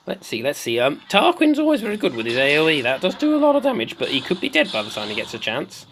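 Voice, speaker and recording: Someone putting on the voice of a slightly mad, excitable woman doing theatrical video game commentary, repeating phrases for effect. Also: medium pitch, fast, slight background noise, pitched below the performer's own voice. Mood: excitable, curious. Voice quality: put-on comic voice. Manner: theatrical, repeats phrases, swoops between squeals and murmurs.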